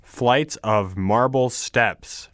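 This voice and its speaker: A man reading English words and storybook narration from a script slowly and carefully, with deliberate, measured enunciation. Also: medium pitch, fairly bright tone, dry recording, clean studio sound. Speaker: English reader for a vocabulary lesson.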